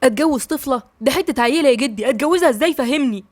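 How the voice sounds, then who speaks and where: A woman reads an angry young man who protests being forced to marry. His lines come quick and indignant, close-miked and dry, like an audiobook. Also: high voice, lively intonation, dry recording.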